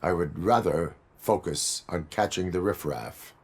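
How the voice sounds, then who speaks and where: Very deep stoic cyborg policeman. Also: measured pace. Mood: stoic, formal. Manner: deadpan, stiff, formal. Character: male cyborg police officer, stoic, dutiful, robotic. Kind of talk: dramatic video game dialogue.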